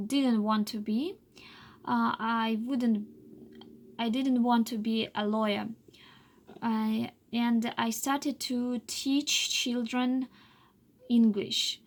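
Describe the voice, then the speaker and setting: Woman, late 20s, slow halting english full of uhs, bit of a high voice. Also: fairly even intonation. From Russia. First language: Chuvash. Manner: halting, many filler pauses and restarts. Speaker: English learner telling her life story. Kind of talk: unscripted personal monologue.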